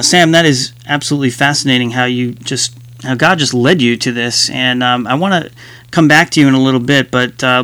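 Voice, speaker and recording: A man's voice: medium pitch, sharp tone, dry recording. Man hosting a radio interview. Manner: chuckles often, short affirmations. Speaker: radio show host.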